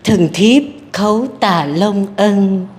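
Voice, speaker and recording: A man's voice: high-pitched, slow pace, slight background noise. A man with a Vietnamese accent voicing a regal empress who speaks like a beauty pageant queen, formal and stately. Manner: formal, stately, speech-like delivery.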